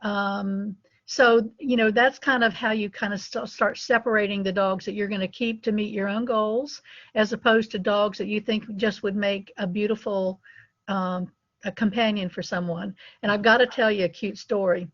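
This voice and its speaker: A woman's voice: mid-range voice, steady tone, normal speed, no room sound, highs cut off. A middle-aged woman, a dog breeder, telling anecdotes casually in an interview. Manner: chatty, anecdotal, laughs between stories.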